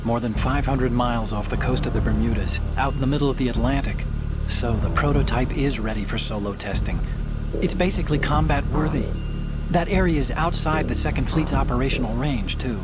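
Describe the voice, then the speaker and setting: Actor as an earnest mission analyst, loud music. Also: male voice, medium pitch, brisk, lightly acted. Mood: focused, analytical. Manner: explanatory, precise. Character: earnest, analytical male mission support partner. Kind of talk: cinematic video game acting.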